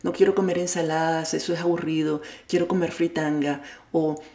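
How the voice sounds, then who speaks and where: Low-voiced woman coaching in an echoey room. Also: fairly even intonation, fairly quick, warm tone. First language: Spanish. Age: adult with a child at home. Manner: candid, self-deprecating, addresses the listener directly. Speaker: life coach and podcast host. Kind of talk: motivational monologue.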